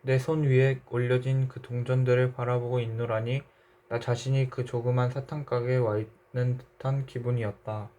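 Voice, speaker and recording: A man narrating a literary short story in a measured, reflective way. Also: medium pitch, little pitch movement, mellow.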